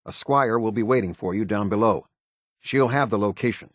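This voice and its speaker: An American man playing a stern, formal military officer in a video game, speaking with husky, clipped, measured authority. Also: lowish voice, no echo, clean studio sound, lightly acted. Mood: instructive, steady. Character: stern, formal male military officer of a post-apocalyptic order. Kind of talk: video game character dialogue.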